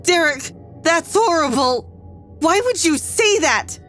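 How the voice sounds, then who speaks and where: A woman's voice: very high-pitched, animated, slow pace, a bit bright, faint music behind, exaggerated voice. A woman playing an anxious, headstrong academic on a space crew in an audio drama, sweary and argumentative. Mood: distressed.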